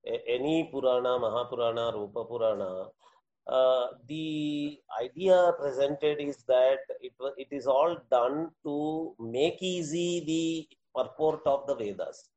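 A man with an Indian accent interviewing in a formal, scholarly way, halting and deliberate with many hesitations. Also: medium pitch, a little mellow, low-quality recording.

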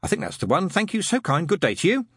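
A man's voice: high-pitched, wildly swooping pitch, fast talker, fairly bright tone, breathy edge, no echo, studio quality, pitched above the performer's own voice, exaggerated voice. A man voicing a prim, fussy male angel, flustered and over-polite on the phone. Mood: politely flustered. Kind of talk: audiobook character voice.

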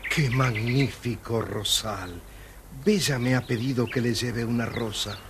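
A man's voice: mid-range voice, measured pace, slight background noise, lightly acted. A man playing a timid old merchant father. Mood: admiring. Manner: soft, fearful pleading. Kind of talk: 1980s children's audio story acting.

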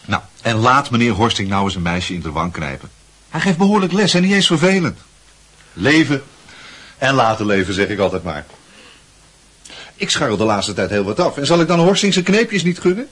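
A man's voice: mid-range voice, big pitch swings, moderate pace, fairly bright tone, breathy edge. A man playing a breezy, boastful teenage schoolboy in a Dutch radio play, chatty and full of worldly-wise airs. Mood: confiding, boastful.

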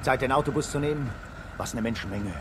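An actor playing a marvelling passer-by in a radio play monologue. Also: male voice, mid-range voice, quick pace, close to an everyday voice. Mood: wonder. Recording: loud street traffic noise.

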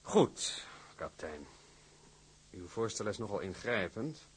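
An actor playing a cautious, courteous shipping director in a period drama, formal and sceptical. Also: male voice, fairly low pitch, big pitch swings, takes it slowly, slightly rough, heavily acted. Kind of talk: acted period drama dialogue.

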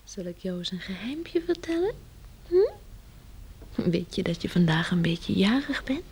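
An actress playing a tender woman cooing to a baby in a breathy whisper, in a Dutch radio play. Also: medium pitch, big pitch swings, measured pace, darkish tone, exaggerated voice.

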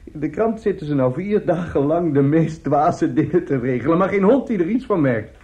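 A chatty older newspaperman acted by a man in a radio drama, fatherly and pleased with himself. His voice sits fairly high yet is soft and mellow. The recording is slightly muffled, like an old broadcast. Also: lively intonation, moderate pace, lightly acted. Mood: jovial, hearty. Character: jovial, avuncular older newspaperman, chatty and self-satisfied. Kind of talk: Dutch radio play acting.

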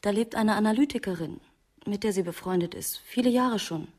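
An actress playing a murder victim's grown daughter, a new mother, subdued and measured in a radio crime drama. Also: mid-range voice, a little mellow, close to an everyday voice. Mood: reflective.

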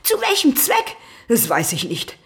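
An actress playing a scheming, venomous spinster cousin in a radio drama, dramatic and falsely virtuous, sometimes dropping into a hiss. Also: female voice, a little high, wildly swooping pitch, measured pace, gravelly, fairly bright tone, exaggerated voice. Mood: indignant, scheming. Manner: dramatic, dropping into hissed whispers.

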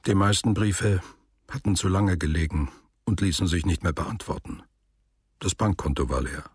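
An actor playing a sober, matter-of-fact male narrator in a literary radio play, speaking in a measured way. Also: low-pitched, a little mellow, slightly rough, no room sound. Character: sober male narrator recounting a traveller's return.

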